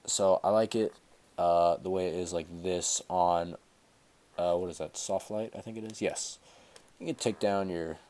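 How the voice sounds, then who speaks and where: Low-voiced teen man with a cold. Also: steady tone, a little slow, warm tone. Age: teens. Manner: rambling, with many fillers and self-corrections. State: stuffy from a cold. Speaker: tutorial host. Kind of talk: casual screen-recorded tutorial. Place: small room at home, indoors. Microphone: headset.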